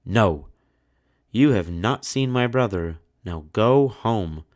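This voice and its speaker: A man plays a stern woman from a storybook tale. She questions people in a commanding way, with unhurried pacing and an expressive, moving pitch. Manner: quiet questioning that turns suddenly forceful. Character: stern, commanding sister of a powerful invisible being.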